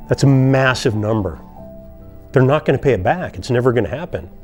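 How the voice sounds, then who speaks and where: Earnest man with a mellow voice. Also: mid-range voice, expressive, soft background music. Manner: impassioned, repetitive, slow emphatic pauses. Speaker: online video essayist. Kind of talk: earnest spoken monologue.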